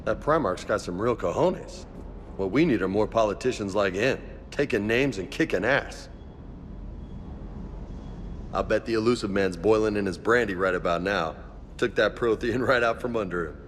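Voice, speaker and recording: Male actor as brash soldier, low voice, casual, slangy, joking, reverberant space, video game dialogue. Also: normal speed, fairly bright tone, slight background noise, close to an everyday voice. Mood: upbeat, admiring.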